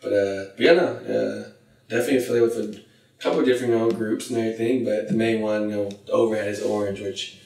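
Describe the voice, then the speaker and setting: A young man from Hawaii, raised in California, chatting as a podcast guest in a laid-back way, full of "you know" fillers and trailing off quietly. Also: on the low side, fairly even intonation, quick pace, vocal fry, echoey room, distant mic, poor audio. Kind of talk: casual podcast chat.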